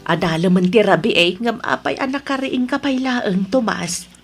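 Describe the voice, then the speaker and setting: A woman acting a worried, caring elderly wife and mother with a husky voice in a radio drama. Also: mid-range voice, expressive, normal speed, over music, natural voice. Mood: gently worried.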